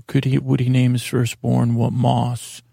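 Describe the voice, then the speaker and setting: A man in his forties hosting a bedtime podcast, rambling haltingly and interrupting himself in a self-deprecating monologue. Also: medium pitch, completely flat delivery, creaky, mellow, slightly rough, no room sound, clean studio sound. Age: 40s. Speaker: podcast host.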